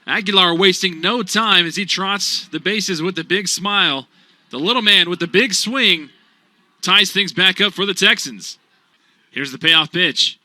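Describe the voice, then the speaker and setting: A young man calling baseball play-by-play for radio in an animated, punchy sportscaster style. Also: high voice. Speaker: play-by-play announcer.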